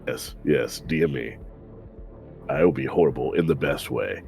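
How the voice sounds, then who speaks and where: A man with a very deep, gravelly voice signs off a tabletop stream in a playful, upbeat way. He sounds relaxed and friendly. Faint music plays behind him. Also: moderate pace. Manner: playful, upbeat self-promotion. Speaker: player, voice actor. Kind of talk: casual stream outro.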